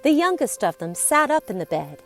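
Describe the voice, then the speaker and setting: A woman narrating a scripted story in a deliberate, theatrical way, with dramatic pauses and emphasis. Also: on the high side, very animated, soft background music. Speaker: podcast storyteller.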